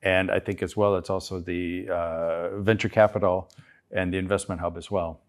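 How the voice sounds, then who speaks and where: A middle-aged American man from Chicago, a guest telling his story in a relaxed interview, with many restarts. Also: sits low, moderate pace. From United States, Chicago. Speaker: guest entrepreneur. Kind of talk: conversational interview.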